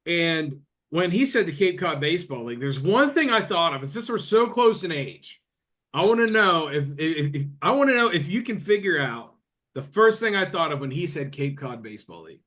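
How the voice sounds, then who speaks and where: A man's voice: on the high side, sing-song, moderate pace, fairly bright tone. A man in his late thirties hosting a podcast, chatting casually and rambling with lots of fillers. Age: 30s. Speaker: podcast host. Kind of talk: casual chat.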